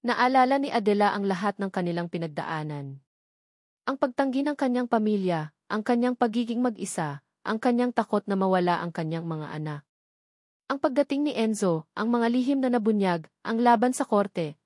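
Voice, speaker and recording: A woman's voice: mid-range voice, darkish tone, no room sound. Close, dry-voiced woman narrating. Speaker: story narrator. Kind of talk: scripted sentimental story narration.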